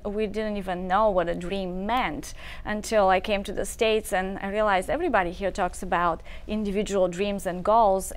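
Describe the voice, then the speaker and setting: A woman's voice: medium pitch, clean tone. A woman in her thirties from Bulgaria with a Bulgarian accent, telling her story earnestly as a talk show guest. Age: 30s. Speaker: guest, nonprofit founder.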